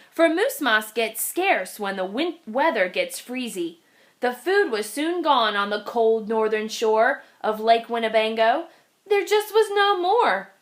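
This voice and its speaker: A woman reading a children's storybook aloud in an expressive, dramatic way with deliberate phrasing. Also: on the high side. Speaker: children's read-aloud reader.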